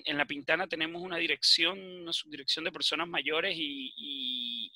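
A man with a Venezuelan accent giving earnest interview answers as a public official, halting at times and repeating phrases for emphasis. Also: fairly high pitch, bright, forceful tone, no echo. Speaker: municipal official for older people's services.

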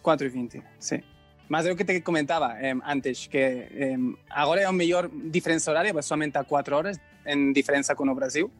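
A man in his thirties from Spain, a native Spanish speaker with a Spanish accent, haltingly searching for words as a podcast guest. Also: fairly high pitch, faint music behind. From Barcelona, Spain. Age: 30s. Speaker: podcast guest, company founder. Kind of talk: casual interview answers.

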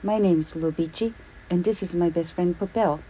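A woman's voice: on the low side, normal speed, warm tone, a little hiss or hum, pitched above the performer's own voice, natural voice, low-quality recording. A woman voicing a caring little boy who asks earnest, eager questions.

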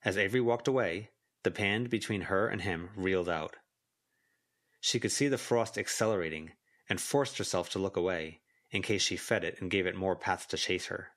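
A man's voice: deep voice, normal speed, fairly bright tone. A man reading a story aloud as an audiobook narrator, in scripted narration. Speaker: volunteer audiobook reader. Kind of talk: scripted fiction narration with character voices.

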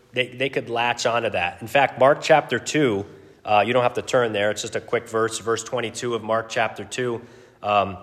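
A man with a deep, booming voice, teaching a Bible study in an informal, conversational, self-deprecating way with halting pauses and casual asides. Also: a bit bright. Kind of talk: informal expository sermon. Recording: long echoing hall reverb.

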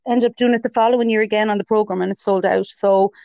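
A woman talks as a guest in a relaxed interview, her voice sitting low for a woman. She tells stories warmly and readily, acting out her own inner voice. The sound is dry and close, with no room around it. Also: quick pace, vocal fry, no room sound, dull audio. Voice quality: lower voice for a woman. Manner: chatty storytelling with self-quotes and laughs. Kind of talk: casual interview chat.

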